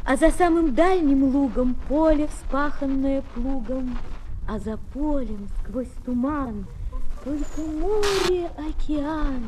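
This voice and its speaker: A woman's voice: sits high, slow pace. A woman reciting verse for children on a faintly noisy vintage disc recording.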